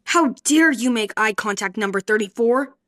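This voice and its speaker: A woman's voice: a little high, animated, normal speed. A woman playing a harsh orphanage matron. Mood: stern. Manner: scolding, sharp commands.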